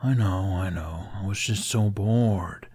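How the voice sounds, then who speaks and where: A man's voice: sits low, slow pace, dark tone, breathy edge, exaggerated voice. A man voicing a sleepy male dragon companion in an audiobook, complaining drowsily. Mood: sleepy, grumbling. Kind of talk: audiobook character dialogue.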